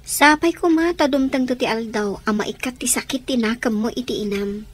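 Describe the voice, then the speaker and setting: A woman's voice: fairly high pitch, moderate pace, close to an everyday voice. A woman playing a supportive, practical companion in a radio drama, speaking in a consoling, down-to-earth way.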